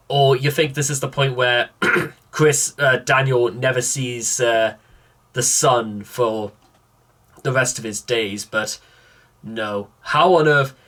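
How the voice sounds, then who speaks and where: A man's voice: mid-range voice, bright, forceful tone. Man musing aloud in exasperated reaction commentary.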